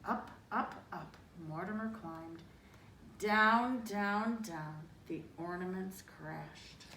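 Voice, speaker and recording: A woman's voice: mid-range voice, takes it slowly, bright, forceful tone. A woman reading a children's picture book aloud, with dramatic emphasis and little asides to young listeners. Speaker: storybook reader. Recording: roomy, distant mic, faint noise.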